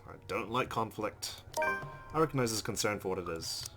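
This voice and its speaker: A man's voice: lowish voice, moderate pace, over loud music. A man playing a visual novel, reading its narration aloud and dropping in casual asides as a let's player. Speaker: let's play gamer.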